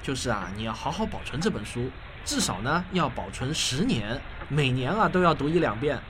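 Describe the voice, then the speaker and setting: A man's voice: medium pitch, sing-song, fairly quick, background noise, lightly acted. A man playing a genial, indulgent father who explains things patiently in a radio drama.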